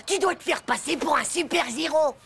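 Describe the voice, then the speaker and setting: A woman playing a brash, scheming, cocky schoolboy with a gravelly voice in a French children's cartoon. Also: high-pitched, fairly quick, fairly bright tone. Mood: cocky, excited. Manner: cocky, slangy playground talk. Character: brash scheming schoolboy dreaming of kissing girls.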